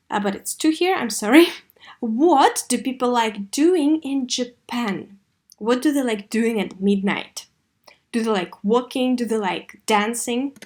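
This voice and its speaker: A woman teaching an English video lesson to children, asking questions and modelling sentences expressively. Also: a little high. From Russia. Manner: didactic, expressive model reading with clear questions. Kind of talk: video lesson for third graders.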